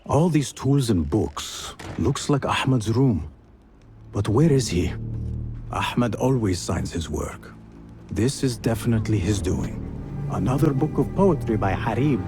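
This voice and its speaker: A young assassin in a game, wry and purposeful, lots of pitch movement, music behind. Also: male voice, sing-song, measured pace. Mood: pensive, reserved. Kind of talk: video game voice acting.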